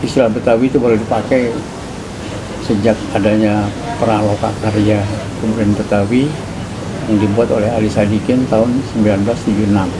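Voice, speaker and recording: A man in his seventies with a Jakarta accent, recounting his memories slowly and deliberately in an interview at home. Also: fairly low pitch, flat delivery. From Indonesia. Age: 70s. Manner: measured, deliberate storytelling. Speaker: interviewee, linguist. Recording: loud background noise.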